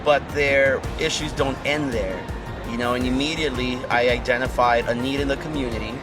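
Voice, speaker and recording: A man's voice: mid-range voice, fairly even intonation, a little fast, music in the background. A man from Guatemala, a physical therapist, talking earnestly in an interview at a conference booth with many "you know" fillers. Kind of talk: conversational interview.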